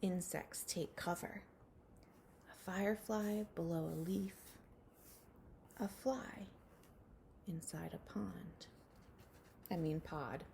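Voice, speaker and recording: Female early-years teacher, read-aloud for children. Unhurried, soft-edged tone with fry, slightly noisy recording. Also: on the low side. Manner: addresses children directly with guiding questions.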